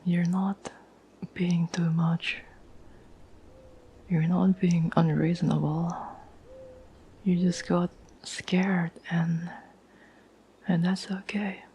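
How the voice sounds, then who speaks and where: Woman acting a slow, whispering, caring girlfriend. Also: lowish voice, flat delivery, a little hiss or hum. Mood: tender, reassuring. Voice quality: soft, breathy whisper. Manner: gentle, slow, soothing, reassuring. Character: caring, patient girlfriend gently reassuring an anxious partner. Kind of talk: whispered ASMR roleplay.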